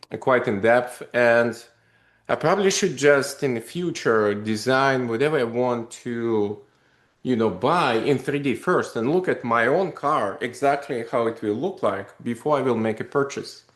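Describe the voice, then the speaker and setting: A man, a company founder telling his story in a casual interview, animated and rambling with many fillers. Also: mid-range voice, normal speed. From Russia. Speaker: podcast guest, company founder. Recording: echoey room.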